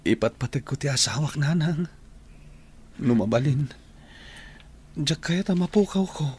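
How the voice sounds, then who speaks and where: A man's voice: a little high, expressive, measured pace, darkish tone, husky. A man playing a devoted, sorrowing husband in a radio drama. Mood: sorrowful, devoted. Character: devoted, sorrowing young husband confiding in his mother.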